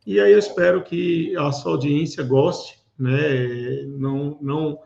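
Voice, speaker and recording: A man in his forties from Pernambuco, Brazil, a guest speaking in a casual interview, giving thoughtful advice with many fillers and pauses. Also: fairly high pitch, steady tone, creaky, darkish tone, a little hiss or hum. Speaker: guest, security event organizer. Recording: roomy, dull lo-fi sound.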